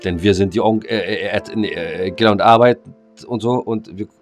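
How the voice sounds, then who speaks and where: A man's voice: fairly low pitch, normal speed, faint music behind. A man co-hosting a casual podcast, playful and sometimes mock-solemn. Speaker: podcast co-host. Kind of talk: casual chat.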